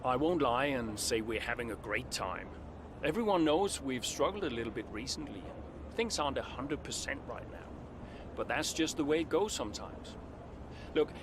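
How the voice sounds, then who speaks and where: A man's voice: mid-range voice, animated, normal speed, background noise, natural voice. An actor playing a weary, insecure and defensive veteran male racing driver in a naturalistic video game cutscene. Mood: composed, guarded.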